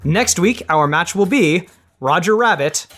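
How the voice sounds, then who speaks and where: A man's voice: sits high, lively intonation, measured pace, fairly bright tone. A man hosting a podcast, chatting casually and making announcements. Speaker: podcast host.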